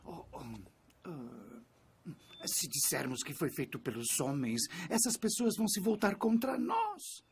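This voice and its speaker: A man's voice: a little high, very animated, slow, slight background noise, exaggerated voice. A man playing an indignant, scheming religious elder in a dubbed Bible cartoon, with a pompous, theatrical delivery. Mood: flustered scheming. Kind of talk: dubbed animated Bible drama.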